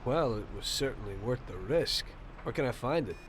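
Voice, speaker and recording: A man's voice: medium pitch, expressive, normal speed, slight background noise, lightly acted, rough recording. A man playing an easygoing, curious young gangster driver in a video game, talking casually and wryly. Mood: eager, confident.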